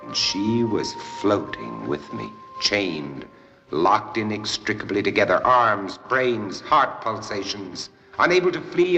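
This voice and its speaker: An actor playing a wry, world-weary adventurer for hire who drinks hard, speaking in a theatrical, sardonic and lyrical way in an old film. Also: male voice, fairly low pitch, sharp tone, full character voice. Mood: brooding, feverish. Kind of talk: old film acting with poetic first-person narration. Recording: muffled old film soundtrack, faint music.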